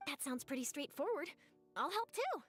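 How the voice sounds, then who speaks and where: An eager game reporter, high and excitable, music under her lines. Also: normal speed. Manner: energetic and exclamatory. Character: eager young female newspaper reporter, lively. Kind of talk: video game voice acting.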